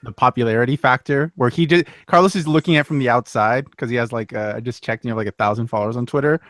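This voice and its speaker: A man's voice: medium pitch, quick pace, a bit bright, dry recording. Man, casual video chat, joking and mocking, dry mic.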